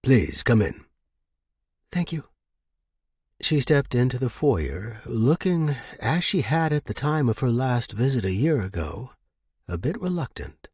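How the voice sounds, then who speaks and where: A man's voice: medium pitch, very animated, measured pace, a little mellow, breathy edge, dry recording, clean studio sound. A man hosting a fiction podcast and narrating short stories. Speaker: podcast host and story narrator. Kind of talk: casual intro and scripted audiobook narration.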